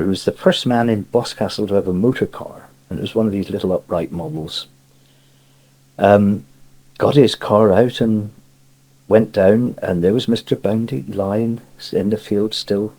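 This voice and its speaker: A man's voice: fairly low pitch, unhurried, mellow, rough recording. An older man with a Cornish accent, telling a rambling local story and chuckling as he goes, heard on an old cassette tape. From Cornwall. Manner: chuckling, rambling yarn-spinner. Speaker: dialect storyteller. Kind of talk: spoken local anecdote.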